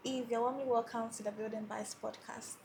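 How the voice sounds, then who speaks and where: Woman, podcast host, slightly high voice, faint background hiss. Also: level tone, a little fast, gravelly, dark tone. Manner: scripted show intro, then brief encouraging backchannels. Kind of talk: podcast interview.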